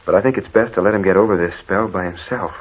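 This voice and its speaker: An actor playing an earnest, protective husband in an old radio horror play. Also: male voice, deep voice, fairly even intonation, quick pace, slightly rough. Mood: reassuring. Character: protective, reassuring husband in a haunted house. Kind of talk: 1940s radio drama acting. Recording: tinny, hissy old radio recording.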